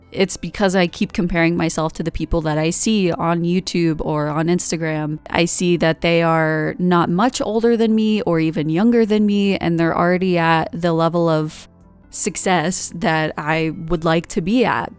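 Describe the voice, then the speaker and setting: Rambling twenty-something woman, smooth low voice. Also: a little slow, faint music behind. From United States. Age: 20s. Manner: rambling, candid, self-reflective, many fillers. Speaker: art vlogger. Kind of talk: casual vlog monologue.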